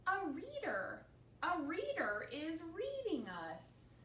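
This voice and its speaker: A woman voicing an anxious, excitable elephant from a children's picture book, with emphatic, exclaiming delivery. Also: very high voice, takes it slowly, voice pushed up, exaggerated voice. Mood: amazed. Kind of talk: children's storybook read aloud. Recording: roomy, distant mic, faint noise.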